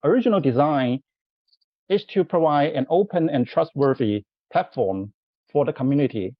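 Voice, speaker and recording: A man from California asking a question as an audience member, hesitant with pauses and restarts while explaining. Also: fairly high pitch, expressive, dry recording, dull audio.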